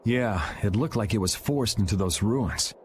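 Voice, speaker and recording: A man's voice: lowish voice, lively intonation, moderate pace, a little hiss or hum, natural voice. An actor playing a sardonic, laid-back young swordsman who talks in a dry way. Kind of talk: video game voice acting.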